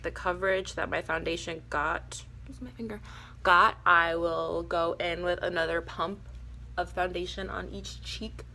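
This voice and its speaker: A young woman with a raspy, hoarse voice from a cold, chatting casually and a little nervously through a makeup tutorial. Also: medium pitch, sharp tone, slight background noise. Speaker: beauty vlogger.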